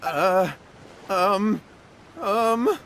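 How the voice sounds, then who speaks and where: A man's voice: high-pitched, lively intonation, drawn-out words, fairly bright tone, a little hiss or hum, heavily acted. A man playing a frightened, guilty young man in a video game, anxious and evasive. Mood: panicked. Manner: stammering, anxious. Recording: clear background music under the voice.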